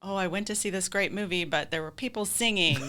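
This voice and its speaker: A woman's voice: medium pitch, fairly quick, pushed and bright. A woman chatting casually as an interview guest, explaining things with enthusiasm. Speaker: podcast guest, theater director.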